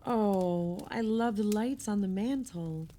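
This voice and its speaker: An actress playing an effusive, sentimental party guest in a naturalistic audio play, speaking haltingly. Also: female voice, mid-range voice, warm tone, lightly acted. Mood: admiring. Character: gushing, sentimental woman guest at a holiday party.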